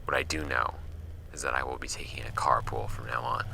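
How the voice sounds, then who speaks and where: An actor playing a brooding paranormal case archivist in a horror audio drama, reading files in a measured, gravelly, breathy delivery. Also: male voice, a bass voice, very animated, sharp tone, slight background noise, full character voice. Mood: weary, resolute. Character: male paranormal case archivist, musing over strange files.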